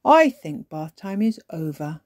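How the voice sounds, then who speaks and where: A woman's voice: deep voice, very animated, measured pace, darkish tone, no echo, studio quality. Woman voicing a good-natured, confident daddy pig. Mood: coaxing, confident. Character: good-natured, confident father pig, coaxing children to bed. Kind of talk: children's storybook character voice.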